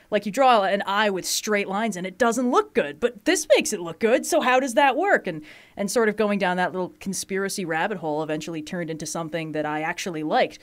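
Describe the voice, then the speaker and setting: A woman hosting a casual podcast, lively and quick to laugh at herself. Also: medium pitch. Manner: lively, laughing, self-deprecating. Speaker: podcast host. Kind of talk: casual chat.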